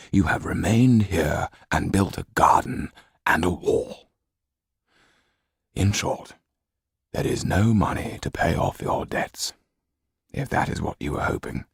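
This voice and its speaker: A man voicing a formal French lawyer in an audiobook, measured and firm, with dry irony and quiet disapproval. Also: low-pitched, vocal fry, breathy edge, no echo, exaggerated voice.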